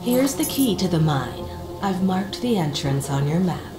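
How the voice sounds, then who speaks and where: A woman plays a composed leader in a video game. Her voice is on the low side, grave and formal, carefully measured. It rings in a roomy space with music behind. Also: big pitch swings. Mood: solemn. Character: solemn, composed female leader briefing a monster hunter. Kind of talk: video game mission briefing.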